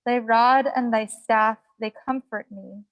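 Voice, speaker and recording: A woman officiating at a funeral, reciting scripture in measured, reverent phrases. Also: a little high, fairly even intonation, dry recording, dull audio.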